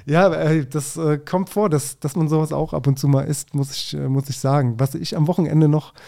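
A man's voice: a little high, steady tone, brisk, vocal fry, mellow. A man co-hosting a food podcast, talking casually with informal slang and the odd English phrase.

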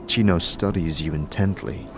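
A man reading scripted audio drama narration in a measured, descriptive storytelling way. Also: sits low.

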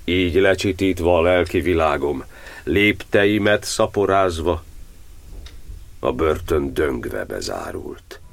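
A very deep, solemn imprisoned cardinal. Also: male voice, lively intonation, creaky, noisy background. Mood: solemn remembrance. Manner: measured, poetic, declamatory delivery. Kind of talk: verse monodrama, theatrical radio-play declamation.

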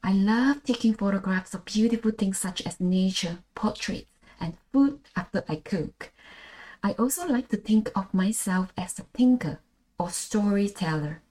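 Malaysian-accented woman, mellow voice. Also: medium pitch, normal speed, low-quality recording. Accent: Malaysian English. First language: Bahasa Malaysia. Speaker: podcast host. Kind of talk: scripted solo podcast monologue.

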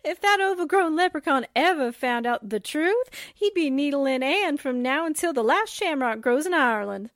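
A woman's voice: sits high, expressive, normal speed, hardly any pauses, fairly bright tone, dry recording, studio quality. A woman playing a playful, teasing reporter sidekick in a 1940s-style radio drama.

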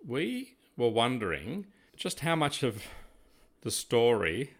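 An older Australian man co-hosting a casual podcast, talking in a halting, reflective way. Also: mid-range voice, big pitch swings. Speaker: podcast co-host. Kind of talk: casual chat.

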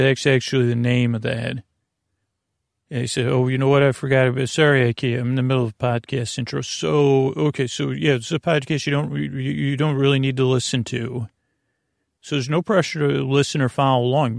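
A man hosting a bedtime podcast in a rambling, halting, gently silly monologue, trailing off mid-thought in a gravelly voice. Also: medium pitch, fairly even intonation, dry recording, clean studio sound. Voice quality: creaky, gravelly. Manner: deliberately dull, gently silly. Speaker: podcast host.

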